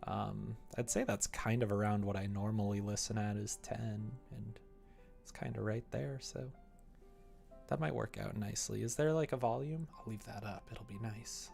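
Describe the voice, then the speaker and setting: A man's voice: on the low side, fairly even intonation, moderate pace, gravelly, a little mellow, soft background music. A young man livestreaming casually at his computer, thinking aloud in a meandering, muttering way. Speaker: livestreamer developing a visual novel. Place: indoor room at a computer.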